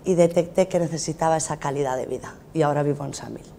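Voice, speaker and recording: A woman from Madrid with a low, mellow voice talks in a studio debate with a faint hiss behind her. She speaks with effusive enthusiasm. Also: quick pace. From Madrid, Spain. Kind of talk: casual debate talk.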